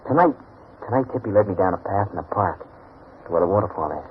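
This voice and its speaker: An actor playing an earnest, dreamy blind young grandson in a 1940s radio drama, speaking in a breathless, halting way. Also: male voice, low-pitched, animated. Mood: dreamy, eager. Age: young adult. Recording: narrow, noisy old radio broadcast.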